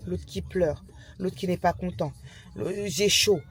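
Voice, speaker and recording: A young woman chatting casually in a vlog, with a stammer that makes her repeat and restart words in a halting way. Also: lowish voice. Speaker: lifestyle vlogger, mother of young children. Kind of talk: casual chat to camera.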